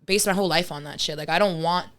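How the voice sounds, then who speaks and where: A young woman hosts a casual conversation, talking reflectively and frankly and leaning on fillers. Her voice is low-ish for a woman but bright and smooth. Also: fast talker. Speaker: podcast host.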